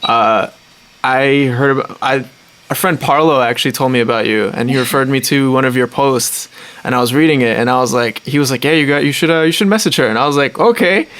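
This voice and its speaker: A man's voice: mid-range voice, fairly quick, a bit bright. A man co-hosting a podcast, welcoming a guest in a rambling, eager way with many fillers. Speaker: podcast co-host. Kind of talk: casual podcast interview.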